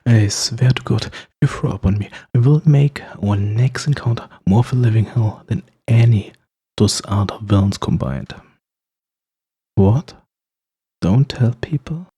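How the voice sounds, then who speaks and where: Husky, mellow, snarky male villain, acted by a man. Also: fairly low pitch, measured pace. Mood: concerned, mock-threatening, then exasperated, reassuring. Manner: sarcastic, playful, bantering, with chuckles. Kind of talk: ASMR roleplay monologue to the listener.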